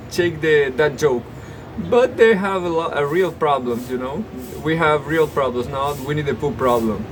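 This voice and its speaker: Halting man reading news with sarcastic asides. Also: on the high side, noisy background. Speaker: news commentator. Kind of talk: reading news with off-the-cuff commentary.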